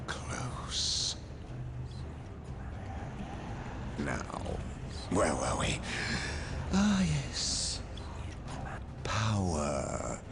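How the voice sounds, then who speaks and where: A scheming dark sorcerer for a game cutscene, extremely low and slow, with a gravelly edge. The delivery is theatrical and silkily menacing, over clear background noise. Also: male voice, exaggerated voice. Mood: commanding, scheming. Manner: theatrical, deliberate, silky menace, breaking into hiss. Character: scheming villainous male chaos sorcerer, smug and treacherous. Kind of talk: dramatic video game cutscene acting.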